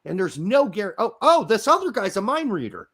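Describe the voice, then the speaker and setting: A man's voice: high-pitched, big pitch swings, normal speed. Ranting, profane fifty-year-old man. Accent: American. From Germany. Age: 50s. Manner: profane ranting, loud outbursts. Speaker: podcast co-host. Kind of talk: casual chat.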